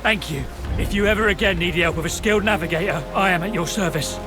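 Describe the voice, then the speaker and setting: Deferential game ship captain male actor: anxious, quick, high voice, music behind. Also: lightly acted. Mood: grateful. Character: frightened, deferential ship's captain pleading innocence. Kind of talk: scripted video game acting.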